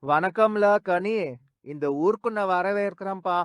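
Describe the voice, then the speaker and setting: A man's voice: high-pitched, a little slow, clean tone, no room sound, studio quality. A man voicing a friendly, welcoming donkey in a children's cartoon, hearty and folksy. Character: welcoming male donkey, the cat's close friend.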